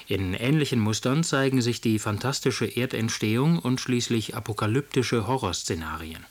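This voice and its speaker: Measured male radio presenter. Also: medium pitch. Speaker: radio presenter introducing a radio play. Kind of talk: scripted narration.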